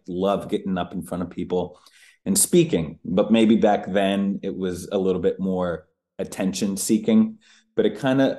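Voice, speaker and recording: Low, mellow-voiced man telling his story. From Northeastern United States. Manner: candid, conversational, with fillers. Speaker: guest, public speaker. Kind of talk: casual storytelling interview.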